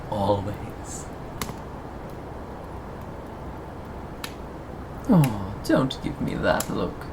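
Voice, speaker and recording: A performer in an intimate ASMR roleplay voicing a possessive, sweetly menacing captor, coaxing and teasing with pauses and sighs. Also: mid-range voice, background noise.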